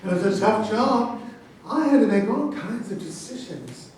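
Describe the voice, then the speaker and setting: An actor playing a boastful, pompous incumbent president who taunts debate rivals in a satirical staged reading. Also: male voice, high voice, animated, moderate pace, darkish tone. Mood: smug. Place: live staged reading in an echoey room. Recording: echoey room, distant mic, faint noise.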